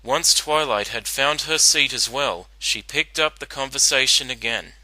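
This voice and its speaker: A man narrating a dramatic reading of fan fiction in an earnest, deliberate storytelling manner. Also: medium pitch, sharp tone, no room sound, poor audio.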